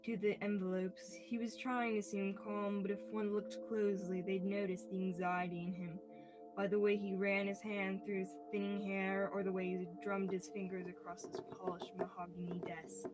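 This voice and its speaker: A woman's voice: mid-range voice, level tone, moderate pace, music in the background, poor audio. A young woman reading scripted narration for a radio-style mystery play. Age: young adult.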